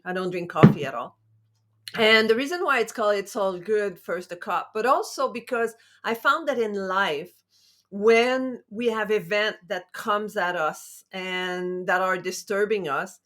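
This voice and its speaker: A woman's voice: mid-range voice, fairly bright tone. A middle-aged woman hosting her own talk show, chatty and upbeat, wandering a little as she explains her ideas. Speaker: show host. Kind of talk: casual talk-show intro.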